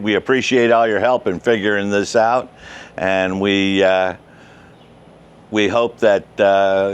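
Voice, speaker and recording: Slow-talking older man, clear low voice. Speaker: ham radio vlog host. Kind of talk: casual instructional explanation to camera. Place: outdoors in a suburban backyard by patio and shed. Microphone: wireless lapel mic clipped to shirt.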